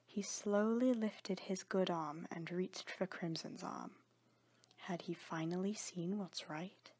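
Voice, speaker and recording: A woman narrates scripted dramatic fiction. Her voice sits fairly low and is breathy and husky, in a storytelling style. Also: slow, a little mellow.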